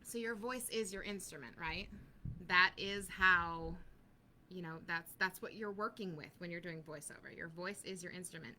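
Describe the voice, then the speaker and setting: A woman's voice: fairly low pitch, normal speed, bright, forceful tone, a little hiss or hum. A woman hosting a casual casting-advice chat, talking easily and laughing often. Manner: chatty, laughs often, plays out little skits. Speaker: casting team host.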